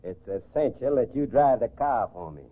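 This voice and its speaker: An actor playing a confident, calculating gentleman swindler in a 1940s American radio crime drama. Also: medium pitch, animated, moderate pace, natural voice. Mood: cool, scheming. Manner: self-assured, calculating, clipped orders. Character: smooth, confident gentleman swindler posing as society golfer. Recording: muffled, phone-like 1940s radio recording.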